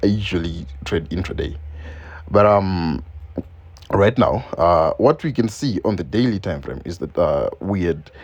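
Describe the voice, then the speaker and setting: Man from zimbabwe, non-native english speaker, very deep voice, lively pitch, unscripted, filler-heavy, screen-recording voice-over. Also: unhurried, lo-fi sound. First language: not English. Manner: loose and unscripted, many ums and uhs, repeats phrases. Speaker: forex trading educator. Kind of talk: screen-share market analysis.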